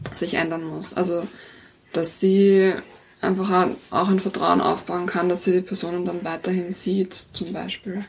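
A woman's voice: on the low side, a little hiss or hum. A woman speaking in a considered, earnest way in a conversational interview. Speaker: interviewee, parent in a polyamorous family.

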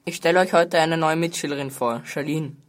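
A man's voice: a little high, fairly even intonation, fairly quick, lightly acted. A boy playing an admonishing male schoolteacher in an amateur school radio play. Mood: formal, stern. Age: child.

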